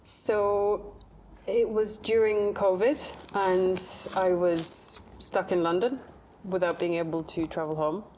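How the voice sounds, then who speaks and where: Woman, from Norway, artist, flat delivery, slow, faint background hum. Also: medium pitch. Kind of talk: interview answers.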